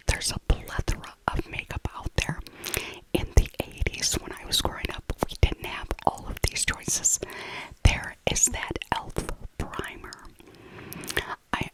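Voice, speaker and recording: A middle-aged woman speaking in a breathy whisper, narrating an ASMR shopping voiceover in a rambling way and softly repeating her phrases. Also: rough recording. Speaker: ASMR video creator.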